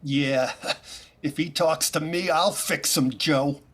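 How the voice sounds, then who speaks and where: Man playing slow-witted shell-shocked veteran, obedient, childlike, raspy, higher-pitched, old-time radio drama. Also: fairly bright tone. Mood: childlike, devoted. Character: simple-minded, obedient shell-shocked war veteran, gangster's brother. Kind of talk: 1930s radio crime drama acting.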